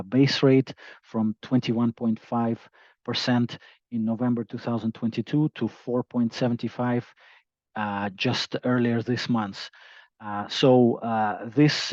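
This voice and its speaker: A man presents financial results on a conference call as an executive. His delivery is halting and hesitant, broken by frequent pauses, at a middling pitch. The sound is dry and somewhat dull, like lo-fi call audio. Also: a little mellow, no room sound.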